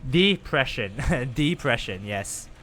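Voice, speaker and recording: Lively, animated man streaming a game. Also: mid-range voice, slow, soft background music. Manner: chatty, animated, lively reactions while playing. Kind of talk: casual live-stream commentary.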